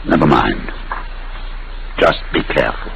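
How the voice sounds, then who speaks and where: An actor playing a driven, wary older inventor with an intense, commanding manner in a classic radio drama. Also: male voice, rumbling low, animated, measured pace, vocal fry, fairly bright tone, highs cut off, full character voice. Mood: wary, grave. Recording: very noisy old radio recording.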